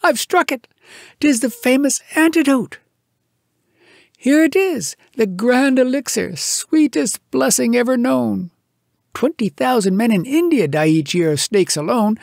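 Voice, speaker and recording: A man voicing an excitable, boastful bush settler in a poem, declaiming grandly. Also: extremely high pitch, unhurried, vocal fry, no room sound, studio quality, voice pushed up, exaggerated voice. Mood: exultant.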